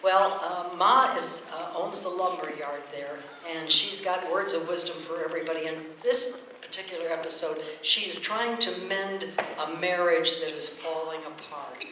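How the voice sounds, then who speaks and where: A man introduces a show from the stage in a casual, storytelling way, pausing now and then to search for words. His voice is bright and fairly high, ringing through a very reverberant hall with faint noise. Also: steady tone. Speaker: host introducing a live radio play show. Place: stage before a live audience.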